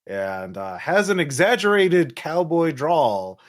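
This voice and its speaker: A man improvising narration as a game master, haltingly searching for words. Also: on the high side, very animated.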